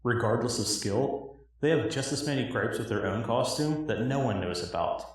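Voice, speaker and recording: A man's voice: medium pitch, moderate pace, recorded from a distance. A man delivering a dramatic scripted monologue in a hobbyist game parody. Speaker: cosplay builder acting in own parody cutscenes. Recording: echoey, roomy recording.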